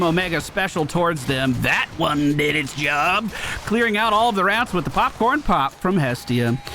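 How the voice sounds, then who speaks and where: A man's voice: high-pitched, bright, forceful tone, a little hiss or hum. A male gaming streamer giving casual live commentary, chatting and thinking aloud as he plays. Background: game music.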